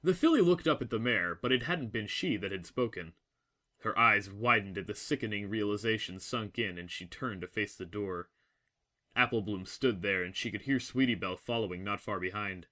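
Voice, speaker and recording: A man's voice: on the low side, moderate pace, sharp tone. A man reading scripted, dramatic story narration as the narrator of an audio drama.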